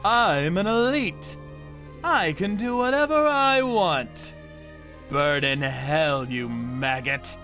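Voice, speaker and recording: A man's voice: fairly high pitch, expressive, slow, pushed and bright, soft background music, heavily acted. A man acting a cold, vengeful torturer who tells his story in a theatrical, menacing manga dub style. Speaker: narrator and lead voice actor. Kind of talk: dramatic manga dub narration.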